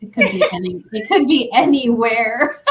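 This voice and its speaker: A young woman from Iowa, a guest in a casual interview, friendly and quick to laugh. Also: fairly high pitch, big pitch swings, a little hiss or hum.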